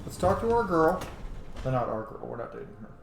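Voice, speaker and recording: A man giving casual, excitable, sweary commentary as he plays a role-playing video game. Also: medium pitch, brisk. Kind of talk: casual let's play commentary. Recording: roomy echo, clearly noisy background.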